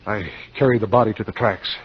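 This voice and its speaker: A man's voice: fairly low pitch, fairly even intonation, brisk, slightly rough. An American man acting a hard-boiled insurance salesman confessing to murder in a terse, matter-of-fact 1950s radio style. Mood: grim, confessional. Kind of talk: 1950s radio drama acting, first-person noir narration. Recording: dull old radio broadcast, loud music behind.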